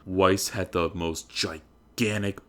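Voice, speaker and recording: A man's voice: low-pitched, fairly even intonation, takes it slowly, a bit bright. A man narrating a comedic dramatic reading in a theatrical, emphatic, mock-serious manner.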